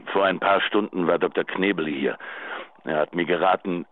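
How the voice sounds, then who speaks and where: An actor playing a pleading, lovesick, self-pitying husband leaving a halting, intimate voicemail in a radio drama. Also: male voice, low-pitched. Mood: pleading, longing. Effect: telephone voicemail filter. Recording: tinny narrow phone line.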